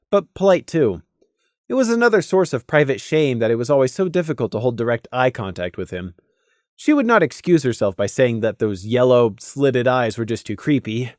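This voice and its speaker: A narrator reading a scripted story aloud in an expressive, dramatic way with deliberate, emphatic pauses. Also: mid-range voice, no room sound, studio quality. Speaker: audiobook narrator.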